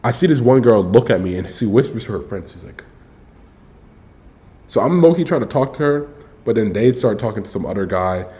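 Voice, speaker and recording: A man's voice: on the low side, lively intonation, fairly quick, long pauses, dark tone. A man in his early twenties telling a rambling story to his stream viewers in a casual, slangy way, often correcting himself and tossing in "bro.". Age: 20s. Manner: laid-back, frequent "bro" asides.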